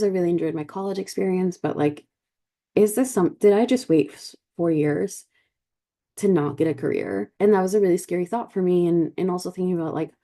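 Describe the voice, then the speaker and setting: A woman's voice: on the low side, vocal fry, mellow. A woman in her twenties from Colombia hosting a podcast, telling personal stories in a casual, reflective way and peppering her talk with "like". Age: 20s. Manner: informal, peppered with "like" as a verbal habit. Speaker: podcast host.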